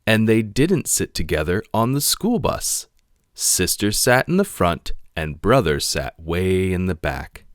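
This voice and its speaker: A man reading a children's picture book aloud in an expressive narrating style. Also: deep voice, unhurried, no echo, studio quality. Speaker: storybook reader.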